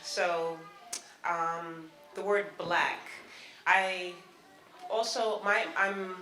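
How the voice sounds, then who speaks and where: A woman's voice: lowish voice, fairly even intonation, extremely slow, bright, forceful tone, echoey room, poor audio. A woman from Barbados, raised in Brooklyn, asking a rambling, chatty question from the audience and laughing often. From Barbados, raised in Brooklyn, New York. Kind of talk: casual question from the floor.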